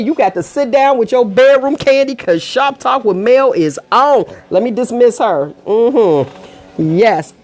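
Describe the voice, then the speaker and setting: A middle-aged American woman hosting a casual online show, chatting to viewers in an animated, colloquial way with frequent asides. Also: mid-range voice. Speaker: online radio show host. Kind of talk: casual livestream talk to viewers.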